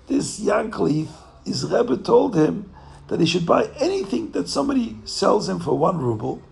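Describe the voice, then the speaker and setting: A man voicing a jolly, scoffing innkeeper in a folk tale, with a gravelly voice that climbs high as he laughs it off. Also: very animated, moderate pace, exaggerated voice, low-quality recording. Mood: mocking laughter.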